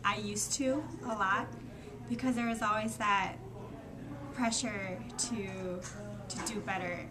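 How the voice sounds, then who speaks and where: A twenty-four-year-old woman from Thailand with a mid-pitched voice speaks in a measured, reflective way. Background noise is clearly audible throughout. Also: steady tone. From refugee camp in Thailand. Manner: measured, thoughtful. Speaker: interviewee, college graduate. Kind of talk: reflective interview answers.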